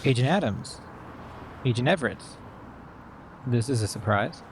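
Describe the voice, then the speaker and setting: An actor playing a cautious, anxious amateur hacker in a naturalistic podcast drama, hesitant and defensive. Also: male voice, mid-range voice, lively intonation, normal speed, a little hiss or hum, lightly acted. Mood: guarded. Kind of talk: naturalistic fiction podcast acting.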